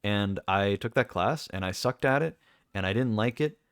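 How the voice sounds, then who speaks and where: A young man with a deep voice, talking casually and rambling with lots of fillers and restarts as a streamer. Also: moderate pace, a bit bright, smooth, dry recording, clean studio sound. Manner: rambling, self-correcting, many fillers.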